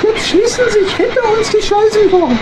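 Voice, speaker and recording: A woman's voice: very high-pitched, moderate pace, heavy background noise. A woman voicing a plucky, eager little animal shipmate in a children's audio drama. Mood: eager, bold.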